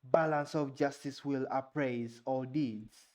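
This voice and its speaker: A young Ugandan man with a Ugandan English accent, his first language Luganda, reading aloud haltingly and sounding out unfamiliar words, with casual asides. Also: mid-range voice, fairly even intonation, a little mellow. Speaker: vlogger.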